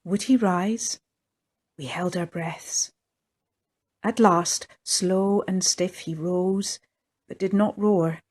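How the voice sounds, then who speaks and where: A woman's voice: on the low side, steady tone, slow pace, long pauses, a little mellow, husky. A woman reading her own picture book aloud in a lilting storytelling manner. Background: faint fiddle music.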